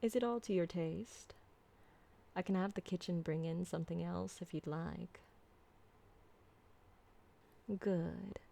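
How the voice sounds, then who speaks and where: A woman playing an ancient, refined and doting female vampire in an intimate ASMR roleplay, soft-spoken and tender, with genteel, formal wording. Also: fairly low pitch, fairly even intonation, moderate pace, gravelly. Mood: tender, reassuring.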